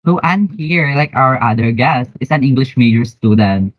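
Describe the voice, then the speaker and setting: A man with a Filipino accent hosting an educational podcast in a deliberate, formal way, introducing and questioning guests from prepared notes. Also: medium pitch, animated, dry recording, poor audio. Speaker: podcast host.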